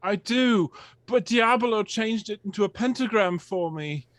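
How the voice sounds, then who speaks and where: A woman's voice: medium pitch, measured pace, dry recording, natural voice. A woman voicing an anguished, melodramatic teenage wizard boy in an amateur dramatic reading of fan fiction. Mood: anguished, earnest.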